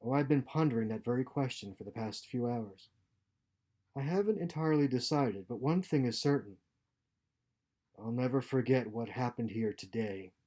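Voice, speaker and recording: A bitter, wounded space engineer, as a male narrator plays him, with a mellow voice at medium pitch. He sounds weary and sardonic, nursing his resentment. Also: normal speed, natural voice. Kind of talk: short story read aloud.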